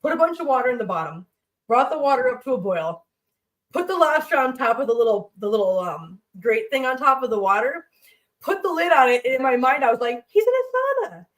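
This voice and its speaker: A woman's voice: sits high, big pitch swings, brisk, far from the mic, poor audio. A woman hosting a livestream from her home kitchen, chatting casually while cooking. She sounds congested and rambles, talking to her pets and viewers.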